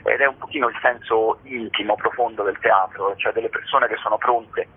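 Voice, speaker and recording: Man, radio interview guest, very husky voice, impassioned explaining, emphatic repetition, muffled phone connection. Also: fairly low pitch, fairly even intonation, a little fast. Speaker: stage actor, interview guest. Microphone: telephone. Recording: muffled tinny phone line.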